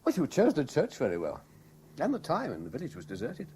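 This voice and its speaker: An actor playing an obsessed, lovelorn British man in a 1970s radio drama, speaking with intense, deliberate theatrical delivery. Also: mid-range voice, wildly swooping pitch, mellow. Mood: grim, accusing. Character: obsessed lovelorn man piecing together a betrayal. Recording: noisy old radio broadcast recording.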